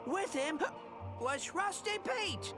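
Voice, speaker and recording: A man's voice: very high-pitched, lively intonation, slow pace, music in the background, heavily acted. A man voicing a boastful, scheming robot pirate first mate in a video game, with theatrical, jaunty pirate talk. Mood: grand storytelling. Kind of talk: video game cutscene acting.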